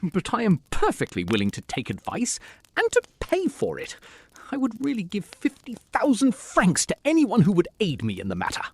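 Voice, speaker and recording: A woman playing a flustered, pleading male police prefect in a theatrical audio drama, with a breathy voice. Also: mid-range voice, huge pitch swings, moderate pace, darkish tone, dry recording, heavily acted. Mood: desperate.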